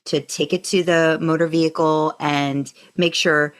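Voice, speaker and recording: A woman's voice: deep voice, steady tone, unhurried. An American woman co-hosting a podcast, talking in a casual, conversational way. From United States. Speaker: podcast co-host. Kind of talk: casual fandom podcast chat.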